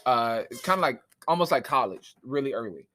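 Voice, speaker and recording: Relaxed young rapper in his twenties. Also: mid-range voice. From Southern California. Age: 20s. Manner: relaxed, laughs easily, drawn-out fillers. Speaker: guest rapper. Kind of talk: casual storytelling. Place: recording studio.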